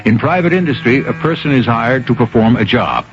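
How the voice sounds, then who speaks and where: A man hosting a radio mystery drama with scripted narration, measured, wry and rhetorical. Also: medium pitch, expressive. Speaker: host and narrator of a mystery anthology. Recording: dull radio recording with music.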